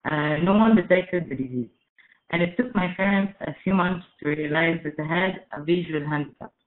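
A woman in her twenties from Egypt giving a prepared webinar talk, careful and measured, with frequent "uh" fillers. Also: fairly low pitch. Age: 20s. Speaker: webinar speaker. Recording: echoey, phone-like webinar audio.

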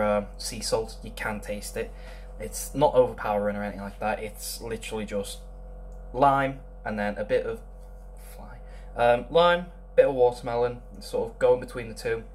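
A young man casually reviewing a beer at home, rambling with lots of ums. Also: mid-range voice. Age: young adult. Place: small room indoors.

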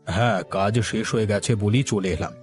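A man playing a kindly older father, a calm and concerned family elder in a cartoon. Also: fairly low pitch, brisk, faint music behind, close to an everyday voice. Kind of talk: Bengali family cartoon acting.

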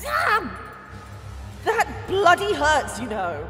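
A tiny, furious, foul-mouthed pixie in a fantasy audio drama. The voice is extremely high, shrill and indignant, leaping about in pitch and ringing with long reverb. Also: female voice, huge pitch swings, drawn-out words, sharp tone, soft background music, heavily acted.

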